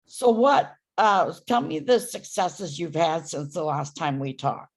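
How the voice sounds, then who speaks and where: A hoarse woman dog trainer giving loud, emphatic instructions in a casual chat over a video call. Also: on the low side, lively intonation, unhurried. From San Francisco Bay Area.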